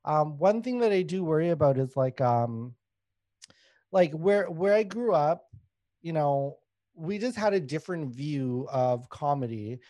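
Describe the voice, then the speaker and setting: A fairly high-voiced man in his thirties from Canada, telling stories openly with easy laughs and plenty of swearing. Very dry, close sound with no room around him. He talks loosely, packing his lines with fillers like a guest in a relaxed podcast chat. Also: measured pace, no echo. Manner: candid, laughing, swears freely, many fillers. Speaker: guest comedian.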